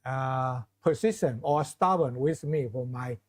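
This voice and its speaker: A middle-aged man with a Chinese accent giving informal closing remarks to a class of students, often pausing and restarting his phrases with many fillers. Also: medium pitch. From China. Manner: halting, restarts phrases, many fillers, asks audience for questions. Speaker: visiting lecturer.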